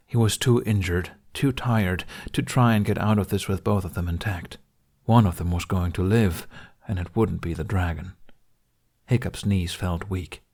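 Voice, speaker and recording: A man's voice: low-pitched, a little mellow. A man reading a dramatic adventure audiobook as its narrator, in a scripted storytelling style.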